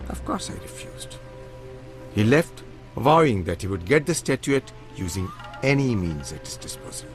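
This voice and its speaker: An actor playing a wronged, courteous, wealthy householder in a radio drama, speaking formally and with measure. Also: male voice, fairly low pitch, sing-song, moderate pace. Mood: grave, formal. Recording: radio play with music underneath.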